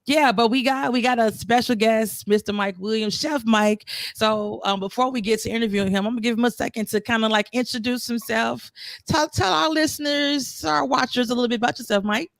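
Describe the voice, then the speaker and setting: A hoarse podcast co-host with an easygoing, giggly manner, introducing a guest. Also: mid-range voice, brisk, a bit bright, no room sound. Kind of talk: casual podcast interview.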